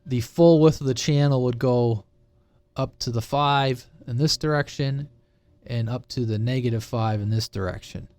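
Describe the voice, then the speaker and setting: A man with an average-pitched voice presents an informal technical explainer. He talks off the cuff in a conversational way and checks in with listeners as he goes. The sound is dry, with very little room echo. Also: moderate pace, darkish tone. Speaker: presenter of a CB radio explainer channel. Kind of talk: casual, unscripted technical explainer.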